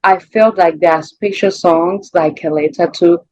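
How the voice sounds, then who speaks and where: Low-voiced Rwandan woman, halting delivery. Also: steady tone, a little mellow, dry recording, dull audio. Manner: halting, searching for words, repeats phrases. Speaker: singer being interviewed. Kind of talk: conversational interview answers.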